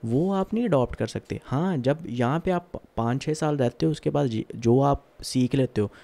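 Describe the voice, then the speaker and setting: A man who hosts a podcast, asking measured questions and often humming in agreement. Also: medium pitch, gravelly, dark tone. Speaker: podcast host. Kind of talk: podcast interview.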